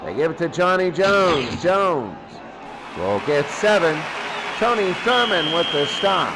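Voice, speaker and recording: A male sports announcer calls football play by play in a high-pitched voice at an unhurried pace. He speaks in short, clipped phrases and repeats them for emphasis. Loud background noise rumbles behind him. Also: sing-song. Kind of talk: American football play-by-play commentary.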